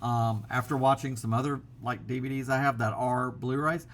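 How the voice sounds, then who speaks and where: A man's voice: mid-range voice, fairly even intonation, normal speed, a little hiss or hum. Rambling man with a drawl. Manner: rambling, many fillers and restarts. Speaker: vlogger. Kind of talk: casual chat to camera. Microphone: built-in laptop camera mic.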